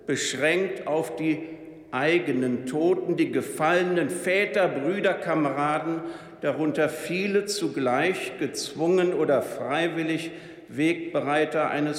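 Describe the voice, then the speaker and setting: An older man solemnly reading a prepared memorial speech, slow, grave and measured. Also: on the high side. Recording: echoey hall with long reverb.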